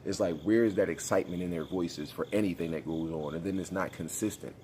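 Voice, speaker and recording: Very deep-voiced congested young man. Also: a little fast, darkish tone, a little hiss or hum. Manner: laid-back, rambling, slangy. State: congested, sniffly. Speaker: gaming YouTuber. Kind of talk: casual vlog commentary.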